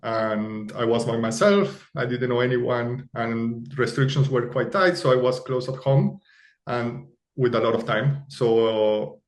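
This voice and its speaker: A man from Argentina, a podcast guest telling personal stories casually and haltingly, repeating words as he forms his thoughts. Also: medium pitch, fairly even intonation. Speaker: podcast guest, former software engineer. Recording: roomy, echoey voice recording.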